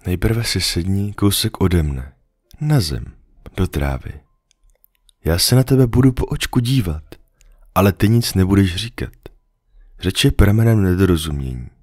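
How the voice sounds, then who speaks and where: A man reading a storybook, voicing a lonely, patient female fox in a soft, earnest, coaxing way. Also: low voice, sing-song, long pauses, no room sound, clean studio sound. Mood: earnest, wistful.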